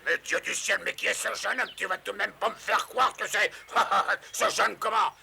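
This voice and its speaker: A scheming, domineering cartoon lord. The voice is very gravelly and sharply bright, sitting high for a male. He speaks with pompous, theatrical false joviality over faint noise. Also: fairly even intonation, normal speed, full character voice. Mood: mock surprise. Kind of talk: French cartoon dub acting.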